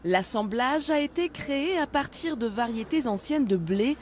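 A woman's voice: high-pitched, lively intonation, normal speed, darkish tone. A woman, presenting a regional TV magazine as a reporter.

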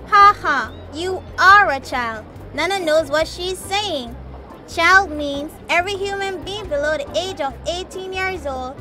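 Very high-voiced Jamaican little girl puppet. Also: sharp tone, heavily acted. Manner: explanatory, teacherly. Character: young Jamaican girl puppet, confident little know-it-all. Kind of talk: children's puppet show acting.

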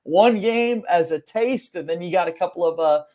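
A young man with a notably high-pitched voice hosts a sports podcast. He presents in the manner of a show host, recorded indoors in a small home room. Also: normal speed, warm tone. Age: young adult. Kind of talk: sports podcast hosting and sponsor read.

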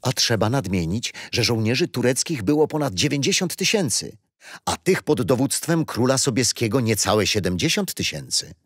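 Grandfather, patient and kindly storyteller for a children's history play, animated intonation. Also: medium pitch, normal speed, slightly rough, no echo, clean studio sound. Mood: kindly, explaining. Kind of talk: children's radio play acting.

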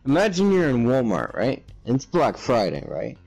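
A man's voice: mid-range voice, huge pitch swings, moderate pace, creaky, darkish tone, faint music behind, rough recording. A young man hosting a gaming session, chatting on a headset mic in a laid-back, teasing way and firing questions at his friends. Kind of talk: casual gaming voice chat.

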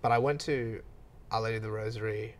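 A man's voice: on the low side, steady tone, a little fast, a little hiss or hum. A man, chatting candidly as a podcast guest and laughing at himself now and then. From Australia. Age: 20s. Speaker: podcast guest, gym owner. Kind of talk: casual podcast chat.

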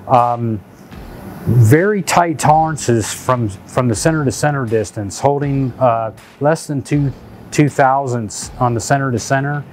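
A man's voice: medium pitch, unhurried, darkish tone, a little hiss or hum. Man presenting a new engine part. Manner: technical, with fillers and restarts. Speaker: company director presenting a product. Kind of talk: corporate product video talk. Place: indoor inspection lab with machines. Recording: faint music behind.

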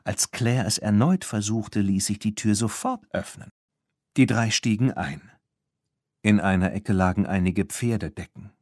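Male storyteller for a children's audiobook, low and husky, recorded close and dry. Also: animated, unhurried, a little mellow, no echo. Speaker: audiobook narrator. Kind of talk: scripted children's story narration.

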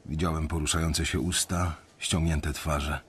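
An actor playing a weary young banker, narrating his story in a radio drama in a reflective, confiding way with a husky voice. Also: very deep voice, flat delivery, unhurried, slight background noise. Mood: reflective, resigned.

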